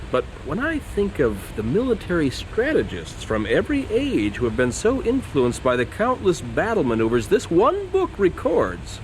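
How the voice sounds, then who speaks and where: Guy acting as a keen young missionary in a radio play, polite but preachy and persuasive, mid voice, faint engine rumble. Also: lightly acted, poor audio. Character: earnest, quick-witted young American missionary man.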